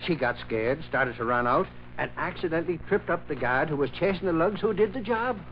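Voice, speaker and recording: A man acting an excitable, blustery newspaper reporter with slangy talk in an old-time American radio drama. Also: on the high side, a little fast, close to an everyday voice. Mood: animated, gossipy. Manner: blustery, exasperated, colloquial. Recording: muffled, hissy old radio recording.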